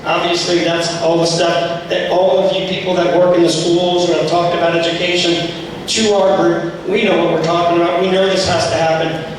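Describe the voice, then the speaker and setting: Hoarse, fervent man, fairly high voice, heard from afar in a booming, noisy hall. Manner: fervent, rallying, asks the room questions. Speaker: speaker at a political group meeting. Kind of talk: impassioned meeting speech. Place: meeting hall. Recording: echoey hall from far off, noisy.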